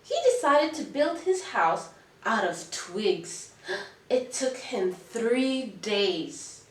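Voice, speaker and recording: Slow, echoey woman reading to young children. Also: mid-range voice, a little hiss or hum. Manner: expressive, deliberate, teacherly. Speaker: children's storyteller. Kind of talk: read-aloud storytelling with questions to young children. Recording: echoey room, distant mic.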